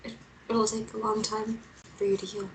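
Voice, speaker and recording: A woman's voice: medium pitch, flat delivery, moderate pace, slight background noise, natural voice, low-quality recording. A woman playing a frightened, remorseful and fiercely protective young woman on the run, urgent and emotionally raw, in a screenplay table read. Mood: tender concern.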